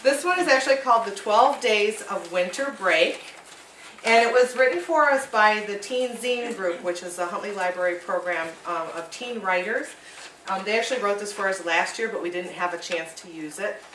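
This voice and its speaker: A woman's voice: mid-range voice, normal speed, fairly bright tone. Far-off American woman hosting in an echoey room. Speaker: library program host. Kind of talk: informal live announcement to an audience. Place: indoor library event room with live audience. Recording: echoey room from far off, clear background noise.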